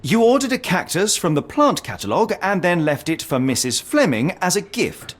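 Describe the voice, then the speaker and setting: An actor playing a shrewd gentleman detective with formal, precise, probing speech in a scripted game. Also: high-pitched. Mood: accusing.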